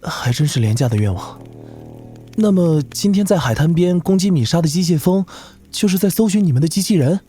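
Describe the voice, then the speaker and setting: A man voicing an earnest, idealistic young human mage with a wry streak in an animated fantasy drama. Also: a little high, brisk, natural voice. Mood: serious.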